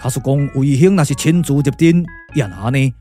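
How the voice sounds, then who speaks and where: A man with a Taiwanese accent voicing a solemn, calculating sage strategist in a puppet radio drama, speaking slowly, deliberately and persuasively. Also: mid-range voice, dark tone, faint music behind, close to an everyday voice. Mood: earnest persuasion. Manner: slow, deliberate, weighty delivery.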